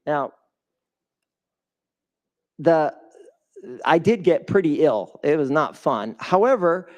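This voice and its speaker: A man's voice: a little high, sing-song, slow, lo-fi sound. Man giving an emphatic live speech. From a developing country. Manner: emphatic, asks the audience questions. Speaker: guest speaker at a charity fundraiser. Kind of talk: live motivational speech to an audience.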